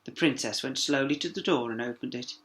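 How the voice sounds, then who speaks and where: A man's voice: medium pitch, brisk, fairly bright tone. A man narrating a children's story expressively as a teacher, with small asides to young listeners.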